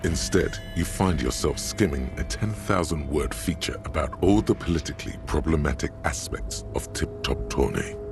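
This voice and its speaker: Cerebral game narrator, rock-bottom pitch, creaky gravel, deliberate pacing, dry irony. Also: male voice, animated. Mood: measured. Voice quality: very deep, gravelly. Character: dry, erudite inner narrator voice of a detective's mind. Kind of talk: scripted narration of a video game. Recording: music behind. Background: game music.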